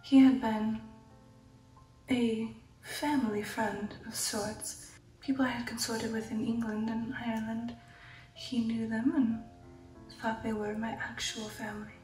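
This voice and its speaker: A breathy, whispering vampire noblewoman, played by a woman, tells her tale in an intimate ASMR roleplay. Her voice is fairly high and evenly pitched, with genteel poise and slow, sensual pauses. It sits in a roomy echo with music behind it. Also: level tone, darkish tone, distant mic, close to an everyday voice. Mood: hushed, reminiscing. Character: female aristocratic vampire, poised, sensual, recounting her past. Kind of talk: ASMR roleplay storytelling, whispered and soft-spoken.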